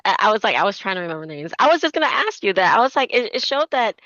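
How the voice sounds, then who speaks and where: A woman hosting a podcast interview, curious and quick to laugh, asking eager questions. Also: medium pitch, big pitch swings, a bit bright. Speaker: podcast host. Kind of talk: casual interview chat. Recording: dull lo-fi sound.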